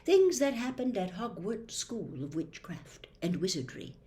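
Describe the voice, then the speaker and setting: A woman voicing the persuasive memory of a young man trapped in a diary, speaking in a hushed, half-whispered way. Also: medium pitch, sing-song, measured pace. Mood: secretive. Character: young male phantom in a diary, secretive and persuasive.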